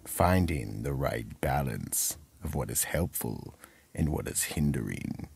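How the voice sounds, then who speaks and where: An extremely deep male narrator with a heavy fry in the voice hosts a radio mystery theatre. He is slow and deliberate, delivering the script with ominous drama. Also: expressive, darkish tone. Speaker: narrator and horror anthology host.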